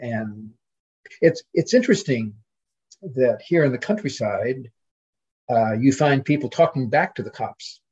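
An older man with a husky voice and a medium pitch talks as a scholarly guest. He is measured and reflective, pausing to consider his points. The recording is slightly dull and lo-fi. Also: expressive, a little mellow. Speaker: literary scholar, podcast guest. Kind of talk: reflective academic conversation.

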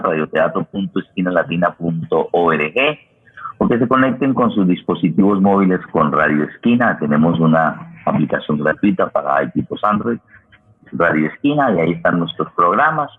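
A very low-voiced Colombian man hosts a radio programme with a rough, husky tone. He makes announcements off the cuff, halting and repeating himself as he looks for words. The sound is narrow, as if over a phone line. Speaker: radio theatre programme host. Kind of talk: unscripted sign-off and announcements. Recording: muffled, phone-like radio connection.

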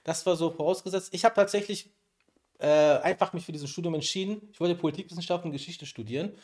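Quick-talking man, higher voice. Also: a little mellow. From Berlin, Germany. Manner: quick, hesitant with many fillers. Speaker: guest lawyer. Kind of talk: casual podcast chat.

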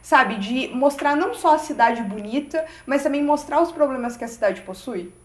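A woman's voice: high voice, expressive, normal speed, reverberant. A woman from Curitiba with a local accent, interviewing a guest, listening attentively with short affirmations and asking long questions. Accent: Curitiba Brazilian Portuguese. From Curitiba, Brazil. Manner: attentive, frequent brief affirmations.

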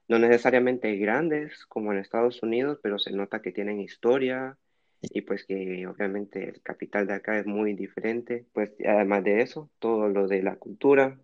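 A man's voice: fairly low pitch, dull audio. A twenty-year-old man with a Honduran Spanish accent, chatting casually as a podcast guest. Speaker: podcast guest, university student in France. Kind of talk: casual interview chat.

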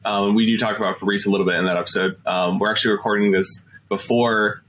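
Young man hosting, low voice. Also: steady tone, quick pace, fairly bright tone, slight background noise. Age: 20s. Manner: friendly, filler-heavy, enthusiastic. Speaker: podcast host. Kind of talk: podcast hosting and interviewing.

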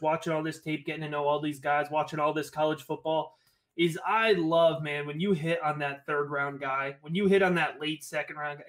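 A talkative man from Connecticut chats as a podcast guest, his voice sitting a little high. He rambles eagerly, circling back and restating his points. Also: a bit bright. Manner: enthusiastic, rambling, repeats phrases. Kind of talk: casual podcast chat about fantasy football.

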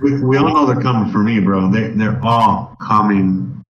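A man's voice: fairly low pitch, normal speed, distant mic, muffled recording. A man co-hosting a sports betting podcast in casual chat, loose and swearing, calling everyone bro. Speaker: podcast co-host. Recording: echoey room, off the mic, faint noise.

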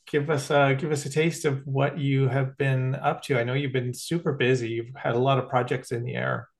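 A man's voice: mid-range voice, level tone, normal speed, gravelly. Chatty male podcast host. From Canada. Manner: hesitant, many fillers. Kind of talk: casual interview chat.

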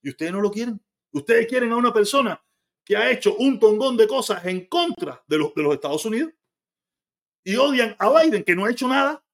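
Cuban man, high voice, blunt live-stream host, argues with chat, swears. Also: expressive, a little fast, vocal fry, fairly bright tone. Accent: Cuban Spanish. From Cuba. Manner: blunt, argumentative, swears freely.

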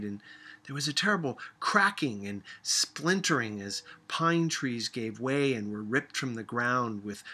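A man reading a literary story aloud as an audiobook narrator, expressive and dramatic in his delivery. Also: medium pitch, husky. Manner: dramatic, acts out dialogue. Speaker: audiobook reader.

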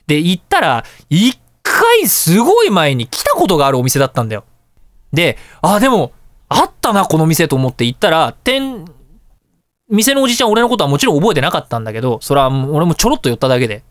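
A man hosting a radio show, telling everyday anecdotes in a casual, excitable way and acting out little exchanges. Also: high-pitched, dry recording, clean studio sound. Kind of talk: casual storytelling radio talk.

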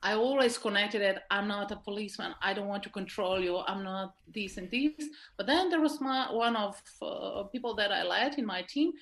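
A woman's voice: medium pitch, moderate pace, hardly any pauses, fairly bright tone. A woman from Slovenia with a Slovenian accent, answering in an informal interview style and often checking for agreement. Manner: informal, often seeks agreement with tag questions. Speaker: interview guest, leadership expert.

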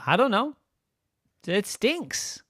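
A storyteller voicing a calm, matter-of-fact young boy who talks in a shrugging, playful way. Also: medium pitch, takes it slowly, husky, no room sound, clean studio sound, pitched above the performer's own voice, exaggerated voice. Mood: offhand.

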